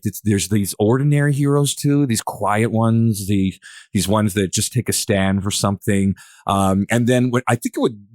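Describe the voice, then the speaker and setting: A man's voice: lowish voice, dry recording, studio quality. A man hosting a podcast in casual discussion, rambling and searching for words as he thinks aloud. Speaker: podcast host.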